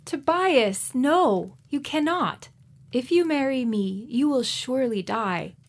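A woman's voice: sits high, lively intonation, takes it slowly, exaggerated voice. A woman playing a timid, troubled young bride-to-be of biblical times in an audio drama. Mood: pleading. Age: young adult. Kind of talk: full-cast audio drama acting.

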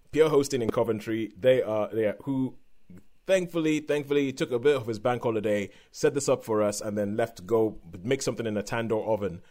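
A man's voice: mid-range voice, a little mellow. Chatty man hosting over a video call. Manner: chatty, laughs often, restarts words. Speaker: podcast host. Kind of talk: casual video-call chat.

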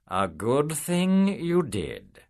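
A man's voice: on the high side, huge pitch swings, drawn-out words, a little mellow, pitched above the performer's own voice, full character voice. A man doing a sly, cheery devil. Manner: cheery, drawling, ingratiating. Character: sly, cheery male devil, smooth-talking.

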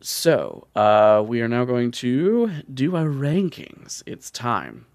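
A man's voice: medium pitch, expressive, slow pace, darkish tone, no echo, clean studio sound. A man hosting a podcast, talking casually and comedically with a wry, profane streak. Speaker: podcast host.

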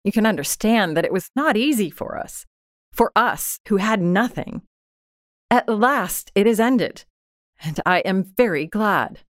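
A woman's voice: mid-range voice, expressive, unhurried, no echo, clean studio sound, pitched above the performer's own voice, lightly acted. A woman voicing a careworn, proud, plain-spoken working-class wife in a storybook reading, earnest and emphatic. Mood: proud, relieved.

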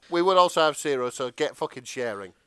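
A man banters as a podcast co-host with a bright, forceful voice. His pitch moves around a lot, and his humour is wry, sweary and self-deprecating. The sound is close and dry. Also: animated, moderate pace, clear, resonant tone, no echo. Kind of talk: casual comedic banter.

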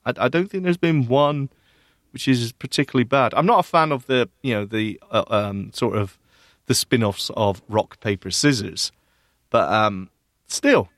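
A man co-hosting a podcast, chatting casually and reviewing games in an animated, bantering way. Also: mid-range voice, moderate pace, no room sound, clean studio sound. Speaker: podcast co-host.

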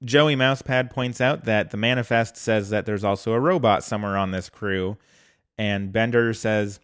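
Low, smooth-voiced man podcasting. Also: steady tone, moderate pace, a bit bright, no room sound, studio quality. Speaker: podcast co-host. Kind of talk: casual episode recap chat.

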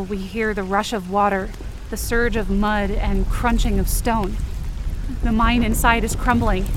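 A woman performing a frightened, determined young woman who narrates her own survival story in intense, emotive, deliberate short phrases. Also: fairly high pitch, a little hiss or hum, natural voice. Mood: shaken, tense. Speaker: writer and performer of a fiction podcast. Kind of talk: dramatic first-person scripted narration.

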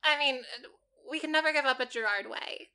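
A woman's voice: high voice, a little fast, sharp tone. A woman who teaches college, talking as a podcast guest; articulate and wordy, with stammered restarts. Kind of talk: casual podcast chat.

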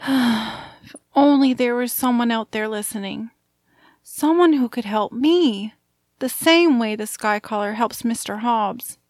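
A woman voicing a frail, gentle, sad little girl with a meek, wistful manner in a fantasy audio drama. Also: on the high side, measured pace, dry recording, studio quality, close to an everyday voice. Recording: prominent music under the voice.

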